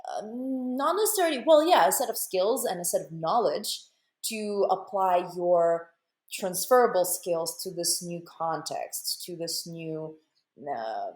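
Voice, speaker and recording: A woman's voice: lowish voice, animated, a little slow, darkish tone. Russian-accented woman, echoey room. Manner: thoughtful, searching for words, frequent fillers. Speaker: interview host. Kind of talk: casual interview conversation.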